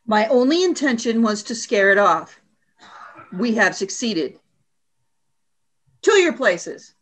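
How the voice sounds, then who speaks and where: A woman's voice: a little high, very animated, slow, heavily acted. Woman playing an imperious alien warrior commander, cold and stern. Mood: curt, haughty, then barking orders. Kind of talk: radio play acting.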